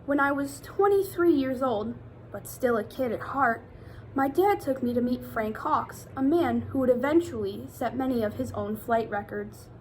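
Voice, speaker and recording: Higher-pitched woman reading to children, noisy recording. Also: lively intonation, moderate pace. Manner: addresses young children directly with questions. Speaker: teacher reading a picture book aloud to children. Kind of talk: expressive children's read-aloud.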